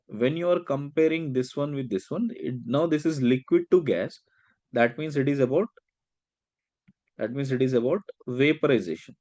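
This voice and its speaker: A man's voice: mid-range voice, darkish tone, no echo. Man teaching, dry close sound. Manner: explanatory, with frequent check-in questions. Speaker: chemistry teacher. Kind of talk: step-by-step worked-problem lecture.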